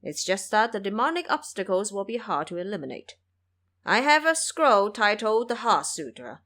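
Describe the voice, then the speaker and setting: A woman voicing a serene old male Buddhist master in an audio drama, speaking in measured, chant-like, cryptic phrases. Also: medium pitch, very animated, a bit bright. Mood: calm, cautioning.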